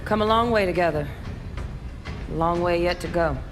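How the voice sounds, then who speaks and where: Woman as tough soldier gal in a game, steady and plain talking, music going behind her. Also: on the low side, normal speed, natural voice. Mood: resolute. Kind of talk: video game cutscene acting.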